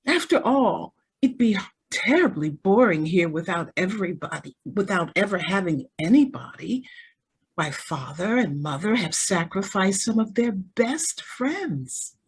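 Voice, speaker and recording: A woman's voice: medium pitch, sing-song, unhurried, breathy edge, heavily acted. A woman playing a spoiled, naively callous young heiress in an old-time radio style drama, girlish and breezy in manner. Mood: breezily callous. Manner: breezy, girlish, petulant when crossed.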